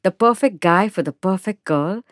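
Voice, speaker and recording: A woman narrating a first-person short story in an expressive, measured, dramatic way. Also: medium pitch, no room sound, studio quality. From India. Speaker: narrator and actor.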